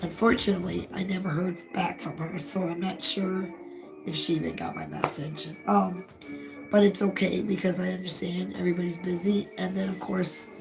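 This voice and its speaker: Woman with a rough gravelly voice, kinda hoarse, low-ish, soft music in the back. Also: flat delivery, normal speed, low-quality recording. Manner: laughs often, chatty. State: losing her voice. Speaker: co-host of a retro livestream. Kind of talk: casual live chat.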